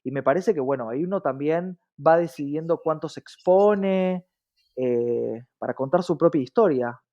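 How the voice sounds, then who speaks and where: A man's voice: fairly high pitch, normal speed, dark tone. Argentine man, reflective interviewee, dull lo-fi audio. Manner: frequently checks in with rhetorical tag questions. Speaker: podcast guest, diversity professional.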